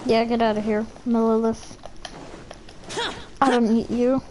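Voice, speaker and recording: A woman's voice: a little high, flat delivery, measured pace. A woman streaming a game with casual commentary and blunt, grumbling asides. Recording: faint background noise.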